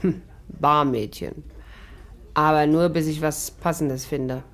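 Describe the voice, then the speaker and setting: Sardonic, low-voiced young barmaid. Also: female voice, steady tone, slow pace, fairly bright tone, heavily acted. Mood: cool, worldly. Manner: teasing, flippant, sardonic. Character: cool, mocking young barmaid playing a worldly gangster's girl. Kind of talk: 1950s German radio play acting.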